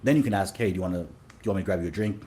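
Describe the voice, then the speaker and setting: A man's voice: deep voice, rapid-fire, warm tone. A man from Sudan, a dating coach, talking casually in an interview with many fillers and restarts.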